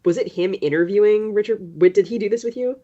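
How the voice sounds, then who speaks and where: A woman's voice: mid-range voice, fast talker, dark tone. Dull lo-fi audio, woman chatting on a writing podcast, reflective, keeps cutting herself off. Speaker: guest writer. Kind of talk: casual conversational podcast interview.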